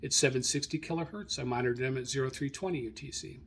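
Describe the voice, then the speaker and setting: A man hosting a radio hobby show, informally reading through his logs in a halting, self-correcting way as he searches his notes. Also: mid-range voice, fairly bright tone, slight background noise. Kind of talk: informal spoken log rundown, unscripted.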